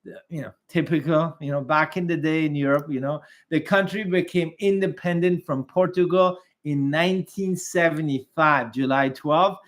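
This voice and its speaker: A man's voice: on the high side, fairly even intonation, moderate pace. A man presenting for his firm in a conversational, salesy explainer, with chatty asides, small laughs and stumbles over foreign names. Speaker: investment migration firm presenter. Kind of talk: promotional explainer monologue.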